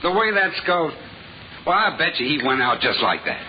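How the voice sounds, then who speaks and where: A chatty working-class morgue attendant with a very lively, up-and-down delivery. He rambles in casual slang, in old-time radio drama style. The old recording is dull and clearly noisy. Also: a little high, normal speed. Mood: grimly casual.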